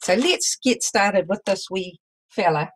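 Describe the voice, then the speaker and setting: A woman teaching a live balloon-twisting lesson, talking loudly and chattily with some self-deprecating humor. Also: medium pitch, expressive, a little slow, a little hiss or hum. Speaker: balloon artist teaching a workshop. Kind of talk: live online demonstration lesson.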